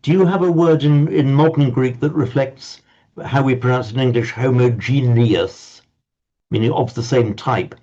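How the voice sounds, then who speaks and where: A man's voice: medium pitch, moderate pace, dark tone, muffled recording. An older man teaching a language class, playfully pedantic and prone to stumbling repetitions.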